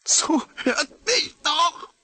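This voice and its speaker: An actor playing an anguished astronaut reduced to a brain, brooding in an intense, gravelly, often near-whispered radio drama monologue. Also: male voice, extremely high pitch, animated, very slow, sharp tone, exaggerated voice. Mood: desperate. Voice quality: husky, gravelly.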